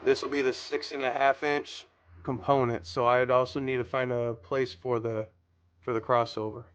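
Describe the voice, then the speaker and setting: A man's voice: mid-range voice, flat delivery, normal speed, smooth, dull audio. A man giving a casual hands-on tutorial, rambling a little as he thinks aloud while working. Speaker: DIY car audio vlogger.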